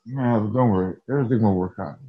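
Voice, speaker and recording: A man calling in to a stream, calm and reassuring in casual chat. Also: lowish voice, quick pace, narrow, tinny audio. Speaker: caller.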